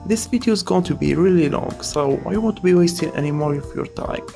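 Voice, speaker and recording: A man's voice: a little high, fairly quick, gravelly, warm tone, faint music behind. A man narrating a scripted video essay as its host, with casual asides.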